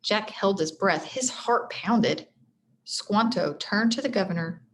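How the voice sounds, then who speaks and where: A woman reading a children's storybook aloud like a teacher, expressive, speaking directly to her listeners. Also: medium pitch, takes it slowly. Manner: expressive, addresses listeners directly, stumbles over hard names. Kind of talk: read-aloud storybook narration with friendly asides.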